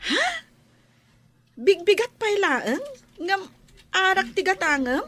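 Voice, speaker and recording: A woman playing a high, bright young Ilocano shopgirl. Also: animated, takes it slowly, exaggerated voice. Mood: polite, unhurried. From Philippines. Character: young unmarried woman tending a village store, polite. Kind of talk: audio drama acting.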